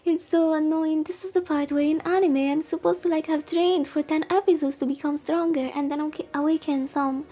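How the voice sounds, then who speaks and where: A woman's voice: sits high, steady tone, a little fast, few pauses, warm tone. A woman VTuber reading a visual novel aloud in a soothing way and adding casual commentary. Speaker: VTuber streamer. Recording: background music. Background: music.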